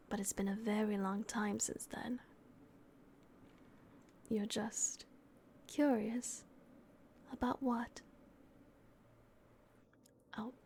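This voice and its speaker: Woman whispering as a gentle vampire guardian. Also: a little high, vocal fry, slight background noise. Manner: soft unvoiced whisper, hesitant. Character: gentle, protective female vampire caretaker. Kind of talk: whispered ASMR roleplay.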